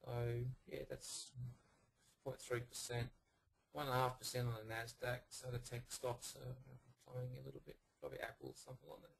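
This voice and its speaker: A man with a hoarse voice he says he is losing, presenting a live forex trading room and talking through charts with many fillers. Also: mid-range voice, steady tone, poor audio. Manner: halting, with many fillers and pointing phrases. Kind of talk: live chart analysis talk.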